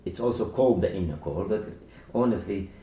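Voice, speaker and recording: Non-native english guy, dark mellow voice, halting, talking books in an echoey room. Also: on the low side, animated. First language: not English. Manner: halting, searching for words. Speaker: author discussing a book. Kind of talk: informal literary discussion. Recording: echoey room, distant mic, faint noise.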